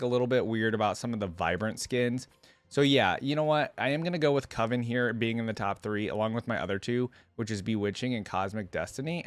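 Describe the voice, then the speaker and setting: A man's voice: mid-range voice, no echo. A man hosting a reaction video, talking informally and musing aloud as he comments and reads along.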